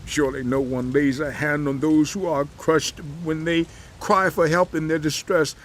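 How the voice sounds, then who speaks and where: An actor playing a suffering righteous man in a dramatized audio Bible, with solemn, impassioned, measured delivery. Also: fairly high pitch, fairly even intonation, a bit bright, slight background noise, close to an everyday voice. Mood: anguished, pleading.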